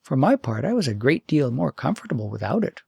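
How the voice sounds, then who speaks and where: A man's voice: mid-range voice, sing-song, fairly quick, creaky, darkish tone, slightly rough, no echo, studio quality, exaggerated voice. A man voicing a spirited young princess in a storybook, with a prim, proper way of talking. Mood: mildly complaining. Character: spirited young princess, petulant yet affectionate. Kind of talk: storybook character voice.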